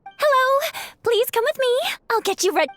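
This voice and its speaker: A woman voicing a small, excitable fairy companion in a video game, with a squeaky childlike voice and eager, exclamatory delivery. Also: normal speed, soft background music.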